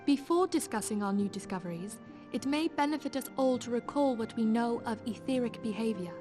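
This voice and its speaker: Woman acting a high-voiced, assured scholarly leader, music behind. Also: animated, a little fast, natural voice. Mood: measured, explanatory. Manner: formal, explanatory, assured. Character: composed, authoritative female leader of scholarly order. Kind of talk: theatrical fantasy game dialogue. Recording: loud music under the voice.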